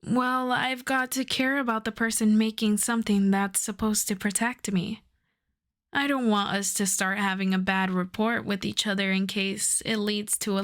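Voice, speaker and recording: A woman's voice: fairly high pitch, fairly bright tone. A woman playing a wry, flirtatious female supervillain coaxing a favor, in an intimate, conversational ASMR roleplay style. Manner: unhurried, teasing, conversational.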